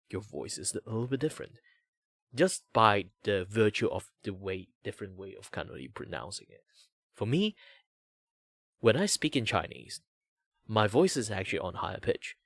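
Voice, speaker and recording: Young Malaysian-accented male streamer, lowish voice, loose rambling chat, dry close sound. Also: fairly bright tone, no room sound. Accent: Malaysian English. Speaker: streamer host. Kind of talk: casual chat while drawing.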